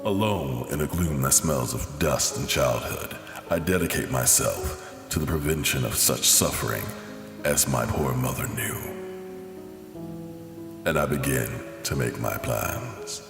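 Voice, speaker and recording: A man voicing a somber, haunted psychiatrist narrating his journal for a comic-book drama, measured and brooding, pausing between phrases. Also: a bass voice, creaky, full character voice. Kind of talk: dramatic first-person scripted narration. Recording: reverberant echo under music.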